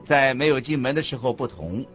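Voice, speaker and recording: A man reading scripted religious teaching as a narrator, in a deliberate, instructive manner. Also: mid-range voice, lively intonation, fairly bright tone. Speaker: narrator of a Buddhist teaching radio program. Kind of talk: scripted didactic narration in a radio program. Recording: muffled lo-fi audio with music underneath. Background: background music.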